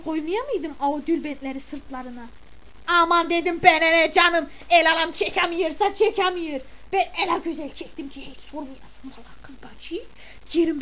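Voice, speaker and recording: Comic sketch, woman playing a scolding, chattering village mother, very high and shrill, dull lo-fi sound. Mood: gossipy. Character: gossipy, grumbling middle-aged village mother of the bride. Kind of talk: comic character monologue.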